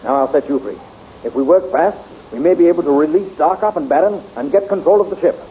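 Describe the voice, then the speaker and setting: A man's voice: on the high side, fast, dark tone, dull audio, a little hiss or hum, lightly acted. A man playing a confident young hero in an old radio serial, clipped and commanding. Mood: heroic. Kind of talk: 1930s radio acting.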